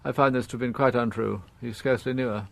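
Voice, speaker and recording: A man playing a dignified, middle-aged upper-class father in a radio drama, confessing in formal, halting, precise phrases. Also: mid-range voice, steady tone, creaky, dry recording, natural voice. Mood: reflective, formal.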